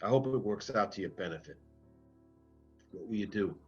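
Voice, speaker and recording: An actor playing a businesslike baseball general manager in a dramatic script reading. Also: male voice, lowish voice, expressive, moderate pace, a little mellow, close to an everyday voice. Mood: businesslike, regretful. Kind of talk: dramatic table read. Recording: muffled lo-fi sound, music behind.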